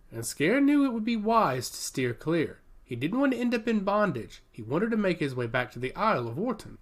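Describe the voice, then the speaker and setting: A man reading a scripted fantasy story aloud as its narrator. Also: a little high.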